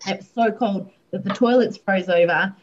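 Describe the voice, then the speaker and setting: A woman's voice: mid-range voice, normal speed, a little mellow, muffled recording, a little hiss or hum. A woman chatting casually as a distillery co-founder, telling detailed stories. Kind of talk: casual chat.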